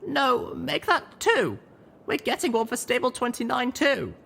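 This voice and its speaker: A man's voice: very high-pitched, big pitch swings, unhurried, gravelly, pushed and bright, slight background noise, pitched above the performer's own voice, heavily acted. A man voicing a small, stubborn, righteous young mare who leads an adventure in an audio drama. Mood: angry, determined.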